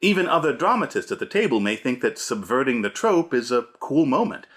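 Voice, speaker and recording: A man presents a scripted video essay on running tabletop games. His pitch is very lively and moves widely for emphasis. The delivery is punchy and a little theatrical, like a game master instructing other game masters. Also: brisk. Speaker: host, game master giving advice.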